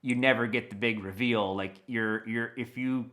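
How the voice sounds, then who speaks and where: A man speaking as an audio dramatist guest in a conversational, enthusiastic interview, telling things in an animated way. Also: medium pitch, normal speed.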